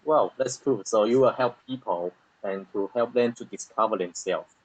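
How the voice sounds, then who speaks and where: A man's voice: lowish voice, moderate pace, slightly rough, lo-fi sound. A man from Taiwan interviewing a guest, giving frequent short affirmations and summarizing her answers. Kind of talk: interview hosting.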